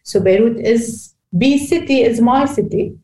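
A woman from Lebanon, a coach, talking casually as an interview guest, laughing easily and pausing with fillers. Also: on the high side, dark tone, roomy sound, slight background noise, poor audio.

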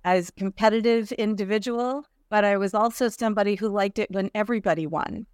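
A middle-aged woman speaks as a guest on a podcast, chatty and relaxed as she tells stories about her life. Her voice is smooth and clear, and it is recorded close up in a dry room with no echo. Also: mid-range voice, quick pace. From New Jersey. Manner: chatty, storytelling, laughs easily. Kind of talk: casual interview.

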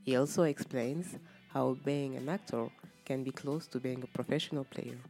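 A host who reads a scripted introduction, then asks an interview guest short questions and fills in with quick agreeing interjections. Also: medium pitch, steady tone, dark tone, faint music behind.

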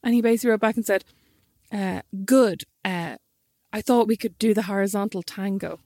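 A woman's voice: medium pitch, brisk, a little mellow, no echo, studio quality. A woman, co-hosting a casual chat with her sister, telling stories animatedly and laughing often.

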